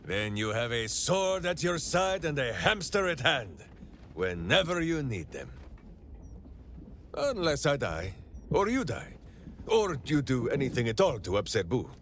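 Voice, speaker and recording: A man's voice: medium pitch, big pitch swings, measured pace, fairly bright tone, a little hiss or hum. A man playing a big-hearted, simple warrior ranger in a video game, bombastic and oddly formal. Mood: friendly, boastful. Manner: bombastic, refers to himself in third person, oddly formal phrasing. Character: big-hearted, simple heroic ranger warrior who talks through his hamster. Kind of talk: theatrical video game character acting. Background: background music.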